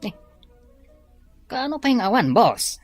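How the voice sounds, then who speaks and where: A woman's voice: on the high side, very animated, takes it slowly, faint music behind. A woman playing a questioning underling who answers to a boss in an audio drama. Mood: puzzled. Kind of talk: Ilocano audio drama acting.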